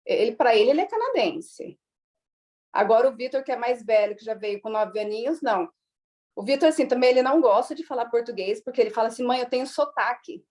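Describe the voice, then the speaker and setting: A woman's voice: on the high side, a little mellow. A woman from Brazil, a guest in an interview, telling family stories in a chatty way full of fillers and acted-out dialogue. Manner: chatty, many fillers, acts out quoted dialogue. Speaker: guest, immigrant mother. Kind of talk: casual interview storytelling.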